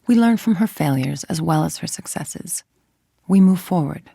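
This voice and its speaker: An actress voicing a cold, calculating middle-aged villain scientist in a video game, with a clipped, controlled, authoritative and menacing delivery. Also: female voice, sits low, expressive, normal speed, gravelly, mellow, breathy edge, dry recording, clean studio sound, full character voice. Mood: cold, measured.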